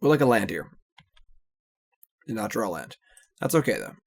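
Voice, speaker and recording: Low gravelly man casually commentating a card game. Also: normal speed. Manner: relaxed, analytical, trailing off with "so". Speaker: card game streamer commentating his play.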